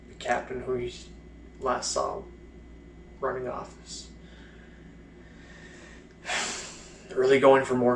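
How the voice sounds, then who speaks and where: A man's voice: medium pitch, gravelly, slight background noise, natural voice. A man performing a bitter, sardonic blinded First World War soldier in a dramatic stage monologue with pent-up anger. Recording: roomy echo, faint music.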